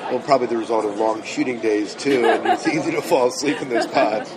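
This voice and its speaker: A male podcast interviewer speaks at a medium pitch in casual, short questions and agreeable reactions, often chuckling. He is recorded in a busy hall with loud crowd chatter all around. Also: brisk.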